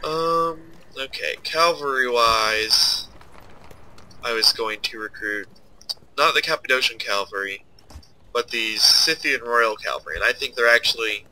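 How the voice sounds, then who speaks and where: A man's voice: mid-range voice, unhurried, bright, forceful tone, low-quality recording. A young man with a stuffed-up, nasal voice, casually commenting while he plays a strategy game and thinks aloud. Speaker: let's play host.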